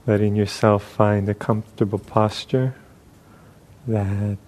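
A man guides a meditation at a very slow pace, leaving long pauses between short phrases. His voice is soft and mellow, sitting on the low side. He sounds like a gentle teacher giving unhurried instruction. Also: little pitch movement.

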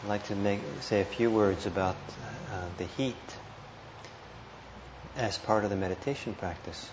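A man teaching meditation, giving guided instructions with gentle, hesitant phrasing and pauses. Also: on the low side. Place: indoor meditation hall. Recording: muffled, roomy live talk with noise.

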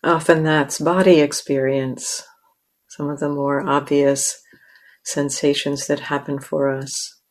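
Female meditation teacher: low register, very even intonation, measured phrasing with generous pauses. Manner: measured, with long pauses between short phrases. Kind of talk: guided meditation.

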